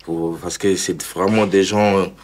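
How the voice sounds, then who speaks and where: A low-pitched young man from Congo chatting in a relaxed interview. He talks loosely, repeats himself and breaks into laughter. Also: slow. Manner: laid-back, repeats words, laughs often. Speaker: rapper guest. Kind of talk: casual interview chat.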